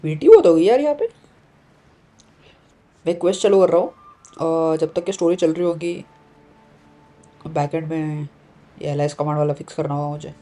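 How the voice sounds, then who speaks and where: A man's voice: fairly high pitch, very fast, pauses often, warm tone. A man from India with an Indian accent, chatting informally as he streams a game. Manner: informal, mixes Hindi and English. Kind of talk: casual live-stream chat. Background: faint game music.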